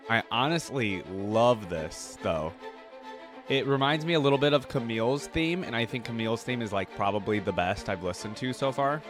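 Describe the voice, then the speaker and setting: A man's voice: mid-range voice, normal speed. A man hosting a casual reaction video, talking in a rambling, chatty way with lots of fillers and restarts. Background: faint music playing.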